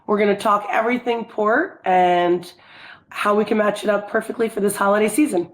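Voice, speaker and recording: Podcast host lady, chatty and excited. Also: mid-range voice, normal speed, low-quality recording. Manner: enthusiastic, chatty. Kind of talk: casual interview chat.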